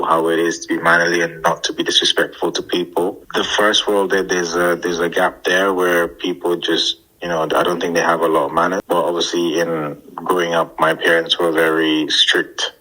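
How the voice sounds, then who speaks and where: A man, answering interview questions thoughtfully and pausing to find his words. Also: sits low, bright, forceful tone, low-quality recording. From Guyana. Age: 30s. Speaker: interview guest.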